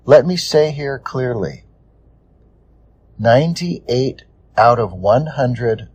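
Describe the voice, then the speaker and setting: A man reading a scripted introduction in the measured style of an audiobook narrator. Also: medium pitch, a little mellow, slightly rough.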